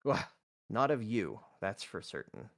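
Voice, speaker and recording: A man playing a guarded male sorcerer in a tabletop game, speaking formally and haltingly. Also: fairly low pitch, sing-song, gravelly, exaggerated voice.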